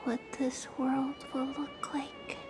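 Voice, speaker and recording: Game cutscene role: dreamy, fading young woman, high-pitched, hushed and breathy, poetic and very unhurried. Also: sing-song, background noise, full character voice. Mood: sleepy, melancholy. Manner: breathy, hushed, drifting into whispers. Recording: voice under loud string music.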